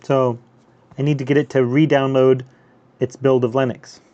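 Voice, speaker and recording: A man hosts a casual live gaming stream, talking loosely with plenty of fillers and restarts. His voice sits at a middle pitch. The stream audio is a little dull and lo-fi. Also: little pitch movement, moderate pace, dark tone. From North America, US and Canada. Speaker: handheld gaming streamer, host. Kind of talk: casual live stream chat over gameplay.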